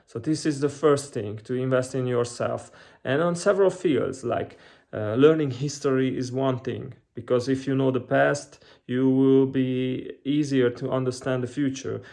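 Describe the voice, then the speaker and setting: A man's voice: medium pitch, normal speed, vocal fry, a little mellow. A man giving unscripted advice in an earnest, reflective way, hesitating often with fillers and restarts. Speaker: channel host giving financial advice.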